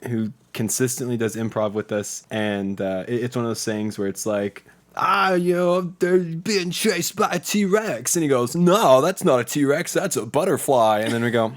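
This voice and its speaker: A man's voice: medium pitch, expressive, normal speed. A man in his early twenties from the United States, talking casually as an interview guest, joking and telling stories. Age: early 20s. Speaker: podcast guest, theater student and improv performer.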